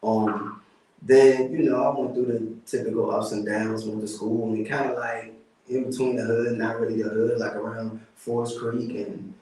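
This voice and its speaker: A man's voice: medium pitch, level tone, moderate pace, mellow. A man with a DC area accent, a rapper guest talking casually, laid-back and often saying "you know what I'm saying". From Washington DC area. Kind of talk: casual interview chat. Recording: roomy, mic at a distance.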